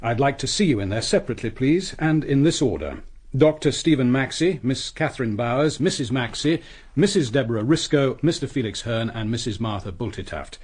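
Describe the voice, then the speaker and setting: A senior English detective in a radio drama. His voice is mid-pitched and husky with a wide melodic range. He is courteous, clipped and quietly in command. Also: animated, a little fast. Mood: coolly commanding. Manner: polite, clipped, quietly authoritative. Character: cool, courteous, senior Scotland Yard detective chief inspector.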